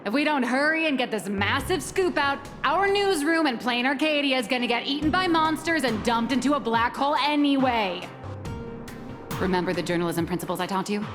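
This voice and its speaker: Brash, high-voiced newsroom boss. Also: female voice, expressive, fairly quick, long reverb tail, over music. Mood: bossy, instructive. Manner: bossy, theatrical, coaxing. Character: brash, confident female news editor-in-chief, scheming and upbeat. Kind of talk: video game character acting.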